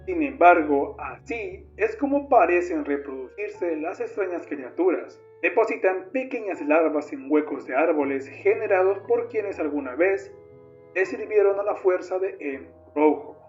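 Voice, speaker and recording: A man's voice: high-pitched, animated, unhurried, a little mellow. A man reading scripted, documentary-style horror narration as the narrator. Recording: dull lo-fi sound under music. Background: music.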